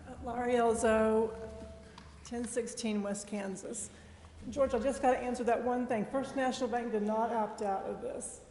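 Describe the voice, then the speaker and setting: A woman giving an unscripted public comment at a council meeting, halting as she sets out a correction. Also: on the high side, fairly even intonation, dark tone, a little hiss or hum. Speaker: citizen speaking at a council meeting. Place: indoor council chamber. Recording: echoey reverberant meeting hall.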